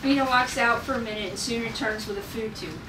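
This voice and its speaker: A woman narrating stage directions at a script table read, in an informal, halting way. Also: a little high. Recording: echoey room, distant mic, noisy.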